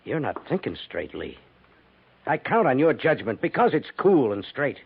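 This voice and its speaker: A man acting a firm, level-headed middle-aged American army major with brisk authority, in 1950s radio drama style. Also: medium pitch, sing-song, creaky, husky, exaggerated voice. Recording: tinny, narrow, noisy 1950s radio recording.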